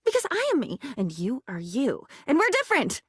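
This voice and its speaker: A woman's voice: sits high, huge pitch swings, brisk, breathy edge, dry recording, studio quality, full character voice. A woman voicing a living diary in a video game, bitter, wounded and theatrical, dramatic and sarcastic as she swings between confiding and outburst. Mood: whimsical, manic. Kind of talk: video game character voice acting.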